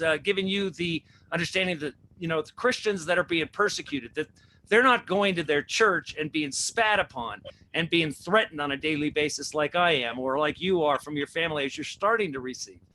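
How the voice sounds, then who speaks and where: A man's voice: on the high side, bright, forceful tone, a little hiss or hum, rough recording. A man hosting a religious talk show, preaching with repeated rhetorical questions. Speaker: host.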